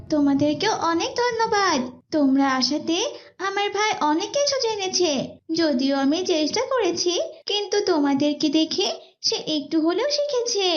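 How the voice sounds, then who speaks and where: A performer voicing a devout young older sister who explains and instructs in an Islamic moral cartoon. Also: extremely high pitch. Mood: encouraging, instructive. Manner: preachy, explanatory. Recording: dull lo-fi audio.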